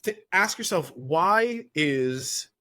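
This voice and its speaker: A man's voice: on the high side, animated, slow, sharp tone, dry recording. A man hosting a podcast, giving dating advice in a rambling, profane, tangent-filled way. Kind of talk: casual podcast chat.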